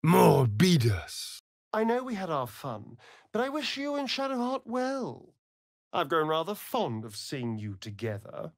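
A man's voice: fairly high pitch, huge pitch swings, a little slow, dry recording, studio quality, full character voice. An actor playing a flamboyant, seductive, sardonic male vampire rogue in a video game, with an arch, drawling, mocking delivery. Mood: incantatory, then wry. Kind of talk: theatrical video game character acting.

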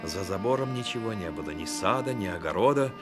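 A man narrating a Soviet radio play dramatically, with intense, measured storytelling. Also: fairly low pitch, animated, loud music behind the voice. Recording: old radio recording with noisy effects behind.

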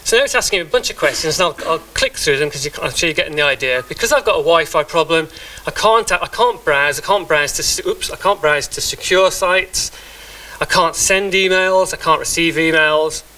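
A man's voice: fairly high pitch, fairly quick, sharp tone, low-quality recording. A middle-aged man giving a live talk with a demo in a meeting room, speaking conversationally with frequent restarts and fillers. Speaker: conference speaker.

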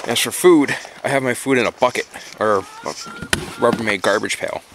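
A man talks in a casual, informal way, like someone showing viewers around his yard. He leans on words by repeating them for emphasis. His pitch is average for a man, and the handheld camera picks up some noise around him. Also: moderate pace. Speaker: backyard chicken keeper and vlogger. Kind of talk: casual how-to vlog talk. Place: outdoors in a yard and inside a small wooden coop. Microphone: handheld camera, operator behind it. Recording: noisy handheld camera audio.

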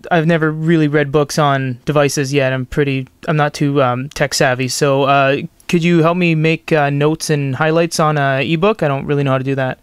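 Male demo host, slightly high, clean smooth voice, easygoing and conversational, close dry sound. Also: steady tone, moderate pace, hardly any pauses, dry recording. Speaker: video host and reviewer. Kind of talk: casual chat, product demonstration.

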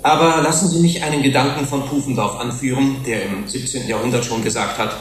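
An actor playing an earnest human rights commissioner pleading before a tribunal in a deliberate, rhetorical, formal way, in a German radio drama. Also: male voice, medium pitch, close to an everyday voice. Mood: earnest, measured. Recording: roomy hall echo, faint hiss.

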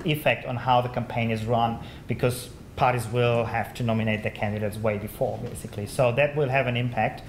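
A man's voice: medium pitch, slight background noise. Matter-of-fact man explaining in an echoing hall. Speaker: panelist. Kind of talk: answering audience question. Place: lecture theatre. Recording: echoey hall.

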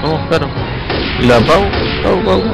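Man, slightly high voice, casual gamer chat, loud game music behind. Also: expressive, moderate pace, vocal fry, rough recording. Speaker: gamer playing co-op with friends. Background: loud game music and sounds.

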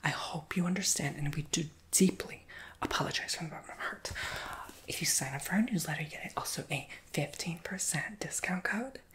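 A woman's voice: low voice, a little fast, fairly bright tone. Whispering woman in a playful ASMR roleplay. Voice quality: breathy whisper. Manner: playful, chatty, slangy asides addressed to a customer. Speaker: ASMR performer playing a shoe shop clerk.

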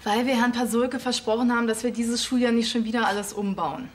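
A woman's voice: fairly high pitch, fairly even intonation, a little fast, a little hiss or hum, lightly acted. An actress playing a firm, sceptical teenage schoolgirl in a TV series. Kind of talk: TV series acting.

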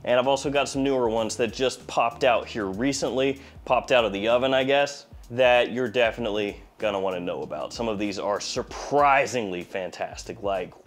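A man's voice: mid-range voice, moderate pace, few pauses, soft background music. A man in his forties with a slight head cold, giving a casual, jokey product review as a video host. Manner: playful, jokey, wry asides. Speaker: fragrance review channel host. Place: small indoor studio.

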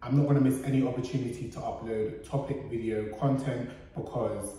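A man in his thirties with a London accent chats to his camera at home. His delivery is informal and candid, full of slang, with a medium-pitched voice. It sounds like an everyday personal vlog. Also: steady tone, a little fast, dark tone, rough recording. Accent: British, London. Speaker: YouTube vlogger. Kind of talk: casual vlog chat. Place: indoors in a flat: kitchen, living room, bedroom.

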